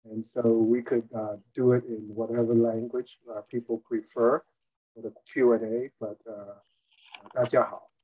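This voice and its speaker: Slow, measured older man. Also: medium pitch, flat delivery, dark tone, highs cut off. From Hong Kong. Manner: measured, with frequent "uh" pauses. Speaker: guest, former mayor and retired college teacher. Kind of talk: interview answer, recounting his career.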